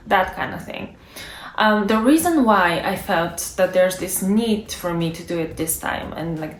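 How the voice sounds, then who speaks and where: A woman's voice: mid-range voice, a little hiss or hum. A young woman who is a native Bulgarian speaker, talking casually to camera as a language-learning YouTuber and thinking aloud with fillers and restarts. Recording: echoey room.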